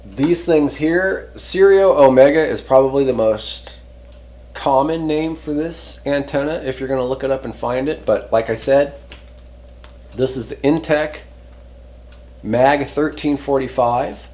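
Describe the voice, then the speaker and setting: A man hosts a casual, hands-on review in an unscripted, conversational way. He rambles a little, stopping to reword his thoughts as he goes. His pitch sits in a middle range for a man. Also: a little mellow. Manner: conversational, rambling, often pausing to rephrase. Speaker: host reviewing CB radio antennas. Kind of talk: casual unscripted product talk.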